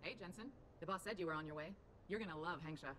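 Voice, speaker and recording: A woman's voice: fairly low pitch, quick pace, fairly bright tone, a little hiss or hum, close to an everyday voice. An actress playing a breezy, teasing, streetwise woman pilot in a video game, informal in manner.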